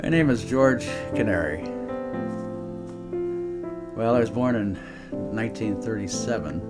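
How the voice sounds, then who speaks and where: A man's voice: on the low side, animated, unhurried, over loud music. A seventy-year-old man speaking wryly and self-deprecatingly in an interview. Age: 70s.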